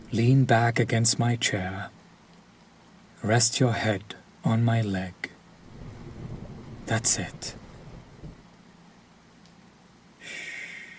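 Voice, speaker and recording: A man voicing a sadistic, possessive vampire lord in an intimate audio roleplay, silkily commanding and deliberate, often dropping into a breathy whisper. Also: on the low side, a little mellow. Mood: tender, possessive. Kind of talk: intimate scripted audio roleplay addressed to the listener. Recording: clear background ambience noise.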